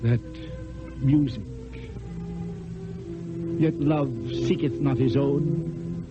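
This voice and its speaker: An actor playing a passionate, eloquent poet wooing in secret, in lyrical theatrical declamation with dramatic pauses. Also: male voice, medium pitch, steady tone. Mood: ardent, rapturous. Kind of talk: mid-century theatrical classical stage acting. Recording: dull old radio recording with music.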